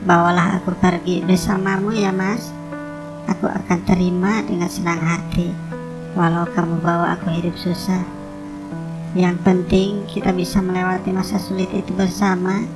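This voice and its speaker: A woman playing a devoted, soft-hearted young woman in love in a cartoon drama, speaking in an earnest, pleading way. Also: lowish voice, little pitch movement, moderate pace, over music, natural voice. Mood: tender, pleading.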